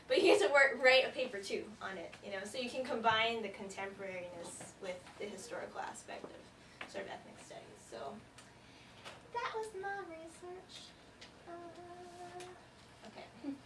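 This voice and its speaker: A woman presenting her research at a casual meeting, chatty and loose with plenty of fillers. She is some way from the mic in an echoey room. Steady background noise runs under her voice. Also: fairly high pitch, far from the mic. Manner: chatty, filler-heavy, laughing asides. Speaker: student presenter.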